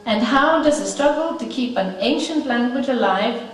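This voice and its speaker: Woman, Icelandic accent, fairly high voice, conference talk, measured pace with fillers, very echoey hall, mic at a distance. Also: expressive. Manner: measured, pausing with frequent uh fillers. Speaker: conference speaker.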